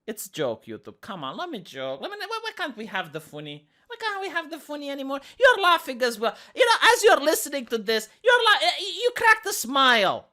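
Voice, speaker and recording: Woman, Romanian accent, squeaky and bright, sarcastic commentary host with a lively up-and-down delivery. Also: a little fast, few pauses. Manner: sarcastic, rambling, many restarts.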